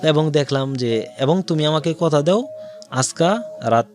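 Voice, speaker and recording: A man's voice: fairly high pitch, expressive, unhurried, a little mellow, soft background music. A man with a Bangladeshi accent tells his own life story as an interview guest, in detail and with quoted dialogue.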